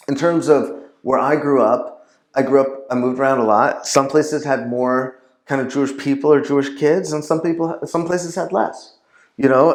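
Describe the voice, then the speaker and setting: A man's voice: medium pitch, a little mellow. A man in a mid-range voice talks as a podcast guest, rambling and leaning on fillers and restarts. The room around him adds a noticeable echo. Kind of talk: casual conversation. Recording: roomy echo.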